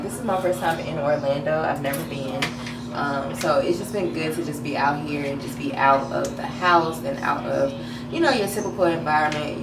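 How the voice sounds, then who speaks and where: A woman's voice: sits low, very noisy. Laid-back woman chatting in an echoey bathroom. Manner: chatty, laid-back, slangy, talking while styling her hair. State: a little deeper than usual after a nap. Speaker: vlogger. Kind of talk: casual vlog chat. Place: hotel bathroom, indoors, small. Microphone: camera on the counter at arm's length. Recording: echoey bathroom, mic at a distance.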